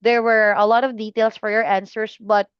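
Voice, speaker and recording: A woman tutoring English exam students, giving feedback slowly and patiently with many fillers and pauses. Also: a little high, level tone, clear, resonant tone, no room sound, muffled recording. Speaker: IELTS speaking tutor.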